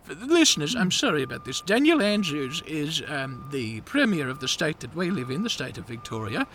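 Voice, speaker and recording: A man's voice: a little high, very animated, fairly quick, a bit bright, a little hiss or hum. Edith, een man die een paranoïde oudere Australische vrouw speelt. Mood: fretful indignant. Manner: indignant, rambling, apologetic asides to listeners. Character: fussy paranoid older woman, Edith. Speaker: podcast co-host in character. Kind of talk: improvised comedy character chat.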